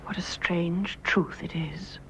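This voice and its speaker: Anguished, hushed young British wife. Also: female voice, fairly low pitch, fairly even intonation, takes it slowly, in a whisper. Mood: shocked. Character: anguished, secretive young wife, gently well-bred. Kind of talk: radio drama acting. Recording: dull, noisy radio drama recording.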